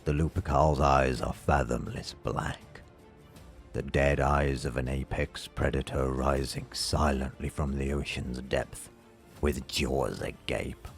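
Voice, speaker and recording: Very deep, slow male narrator. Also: fairly even intonation, creaky. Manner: solemn, measured delivery. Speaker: audiobook narrator. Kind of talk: dramatic scripted fiction narration. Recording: music under the voice. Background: background music.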